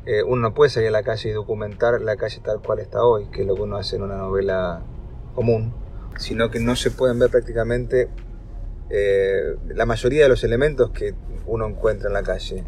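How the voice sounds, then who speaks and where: A man, a TV producer, giving reflective interview answers in a measured, hesitant way. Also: on the low side, steady tone, darkish tone, slight background noise.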